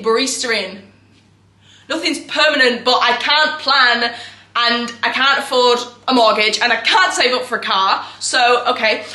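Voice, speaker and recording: A woman's voice: high voice, bright, forceful tone. A woman performing a theatrical monologue as a restless, self-doubting young actress, raw and defensive. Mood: wistful, wry. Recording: echoey room recording.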